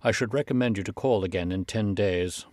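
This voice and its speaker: A solemn young gentleman doctor, acted by a male narrator, fairly low in pitch. He speaks with stern, formal authority in a dry, close storybook recording. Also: a little fast, no room sound, natural voice. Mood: grave, firm.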